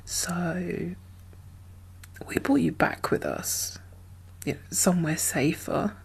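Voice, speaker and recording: Woman, low pitch, slow halting pace, soft and half-whispered, gentle ASMR roleplay, guarded caring apocalypse survivor. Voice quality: soft, breathy, often whispered. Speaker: ASMR performer playing a role.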